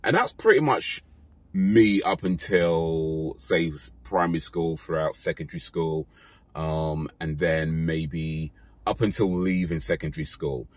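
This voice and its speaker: A British man in his thirties talking casually to his phone in a parked car, relaxed and rambling with fillers and self-corrections. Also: rumbling low, a little slow, no echo. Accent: British English. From Britain. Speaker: vlogger. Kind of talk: casual talk to camera. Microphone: phone camera held close.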